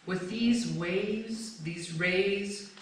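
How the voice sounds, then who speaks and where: A woman poet speaks to an audience at a very slow pace. Her voice comes from some distance off in a very echoey room, with faint background noise. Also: mid-range voice. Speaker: poet presenting own poem. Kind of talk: introducing and reciting a poem to an audience. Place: echoey room at a live book launch event.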